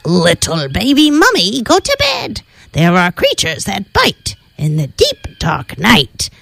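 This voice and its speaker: A woman's voice: mid-range voice, wildly swooping pitch, takes it slowly, creaky, a bit bright, breathy edge, dry recording, exaggerated voice. A woman voicing a skeleton monster in a children's story, with a sing-song, scolding warning.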